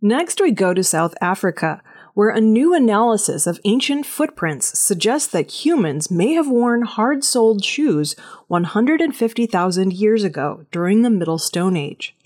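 A woman's voice: mid-range voice, sing-song, normal speed, a little mellow. A woman working as a news reader, delivering scripted audio news narration.

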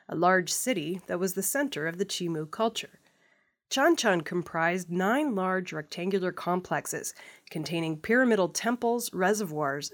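A woman news host reading scripted stories in a measured, formal manner. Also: mid-range voice.

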